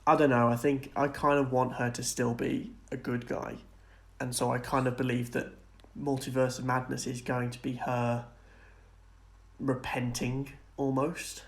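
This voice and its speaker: A man's voice: mid-range voice, flat delivery, measured pace. A man co-hosting a podcast, chatting casually and earnestly as he speculates about film and TV. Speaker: podcast co-host.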